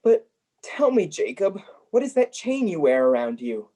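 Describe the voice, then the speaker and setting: A man's voice: high-pitched, sing-song, moderate pace, warm tone. A man acting a grumpy, miserly old man in a radio play, blustering and grumbling. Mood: nervous, pleading.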